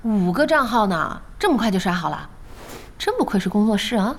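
A woman playing a feisty, blunt young esports fan in an audio drama, outspoken and quick to complain. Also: medium pitch, big pitch swings, gravelly, a little hiss or hum. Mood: casual curious. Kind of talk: Chinese audio drama acting.

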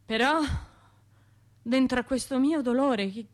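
An actress with a Tuscan accent playing a wounded, self-reproaching bombing survivor in an intimate radio drama monologue, halting and colloquial. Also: female voice, high-pitched. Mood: defensive, troubled. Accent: Tuscan Italian. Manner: halting, colloquial, shifting between murmurs and outbursts.

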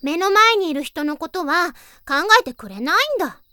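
A woman voicing a teenage girl in an anime audio drama, playfully teasing and softly pouting, with a lonely side. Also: high voice, animated, normal speed, dry recording, clean studio sound. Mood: teasing, wistful.

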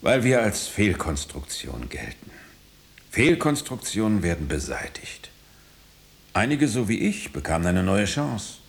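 Deep-voiced, haunted artificial man. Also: very animated, gravelly, full character voice. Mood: insistent, confiding. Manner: halting, insistent, breaking off sentences. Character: hunted, engineered noise-eating man, haunted and urgent. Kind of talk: German radio drama acting.

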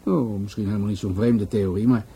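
A man acting in a radio play as a musing, world-weary police commissioner who speaks deliberately. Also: fairly low pitch, fairly even intonation, creaky, warm tone, slightly rough, full character voice. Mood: musing, resigned.

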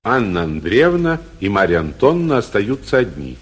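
A man's voice: fairly low pitch, big pitch swings, normal speed, slightly rough. A man narrating the stage directions of a 1940s radio drama.

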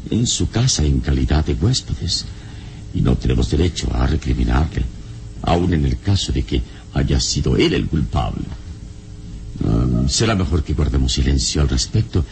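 An actor playing a calm, commanding heroic adventurer in a radio serial, with measured, authoritative delivery. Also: male voice, very deep voice, creaky, slight background noise, exaggerated voice. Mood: measured, cautioning. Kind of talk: radionovela acting.

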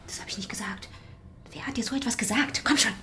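Actress as a frightened, high-voiced young opera singer. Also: female voice, quick pace, in a whisper, natural voice. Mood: alarmed, forbidding. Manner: breathy hushed urgency, breaking into sharp commands. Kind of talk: German radio play acting.

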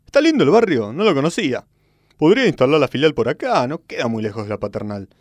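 A man's voice: mid-range voice, sing-song, no echo. A man with an Argentine accent playing a pompous, eccentric football club president in a radio comedy sketch. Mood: grandiose, musing. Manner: pompous, halting then grandiloquent.

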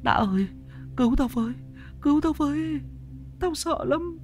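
A man's voice: extremely high pitch, lively intonation, moderate pace, darkish tone, faint music behind, pitched above the performer's own voice. A man voicing a terrified young man who stammers and begs for help.

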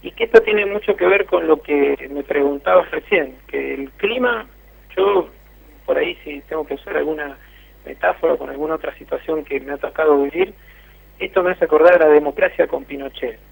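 A man's voice: fairly high pitch, brisk, vocal fry, breathy edge. A man with an Argentine accent reporting by phone for a radio interview, hesitant, with many fillers and restarts. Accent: Argentine Spanish. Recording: tinny phone line.

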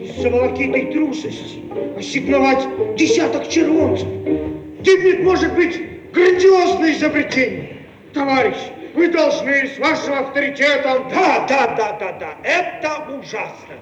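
A man's voice: extremely high pitch, lively intonation, moderate pace, muffled recording, soft background music. An actor playing an eager, blustering man in a Soviet satirical radio play, effusive and given to repeating his words. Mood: agitated, blustering. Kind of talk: theatrical comic radio play acting. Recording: echoey archive radio recording with crowd.

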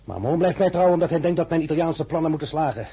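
A man playing a proud, sharp-tongued young general in a radio drama, brisk and self-assured. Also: fairly high pitch, lively intonation, breathy edge, full character voice.

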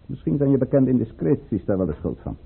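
An actor playing a commanding, guarded count and governor in an old-fashioned radio drama, with a formal, deliberate, urbane delivery. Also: male voice, medium pitch, big pitch swings, creaky, slightly rough, exaggerated voice. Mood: stern, courteous. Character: commanding, guarded male count and governor, coolly courteous schemer. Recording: muffled, phone-like old radio recording.

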